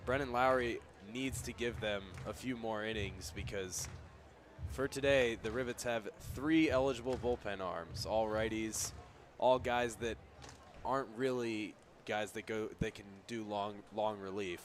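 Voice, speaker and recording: A man providing conversational color commentary on a radio baseball broadcast, explaining things in a measured way. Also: fairly low pitch. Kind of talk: conversational sports analysis on radio. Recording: faint outdoor ambience and traffic-like noise.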